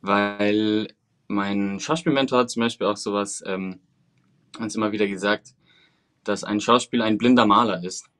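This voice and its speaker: A man's voice: lowish voice, fairly even intonation, normal speed, fairly bright tone. A man in his twenties, from Venezuela and raised in Germany, answering as an actor guest over a video call, thoughtful and articulate with hesitant fillers. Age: 20s.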